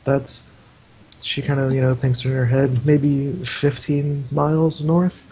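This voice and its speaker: Flat, mellow-voiced man running the game. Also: medium pitch, takes it slowly, husky. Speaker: game master. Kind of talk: narrating a tabletop game over voice chat.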